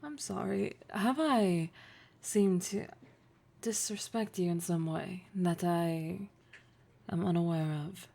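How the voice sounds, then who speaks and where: A dark, mellow, low voice with a heavy creak belongs to a domineering , woman-acted female boss in an audio roleplay. She is poised and formal, taking her time over each phrase. There is a flirtatious, condescending tease in the way she addresses the listener. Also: exaggerated voice. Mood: teasing, challenging. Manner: formal, measured, condescending, teasing, with deliberate pauses.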